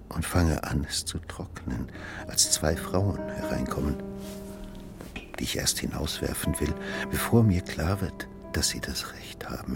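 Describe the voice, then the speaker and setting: Very deep, gravelly evasive brooding man. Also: full character voice. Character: Starnke, evasive male architect, brooding over his dreams. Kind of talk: German radio drama acting. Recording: music under the voice.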